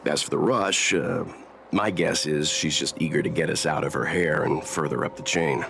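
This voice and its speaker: An actor playing a calm, prudent older mentor in a video game, speaking in a measured, reassuring way with a wry edge. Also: male voice, rumbling low, creaky, a little hiss or hum. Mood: measured, cautioning. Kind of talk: scripted video game dialogue. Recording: music behind the voice.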